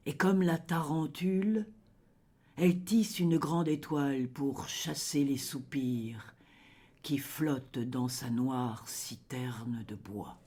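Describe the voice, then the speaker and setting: A reader recites poetry at an extremely slow pace in a mid-range voice. The delivery is solemn and dramatic, each line carefully measured. Phrases often sink into a hush at their close. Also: medium pitch, husky.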